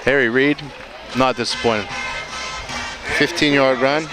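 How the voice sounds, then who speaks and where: A man doing relaxed live play-by-play of a high school football game, joking and filling pauses with lots of "uh"s and restarts. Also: over loud music, poor audio. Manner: easygoing, joking, many fillers and restarts. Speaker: play-by-play commentator. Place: outdoor football stadium. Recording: loud crowd and stadium noise. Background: crowd noise.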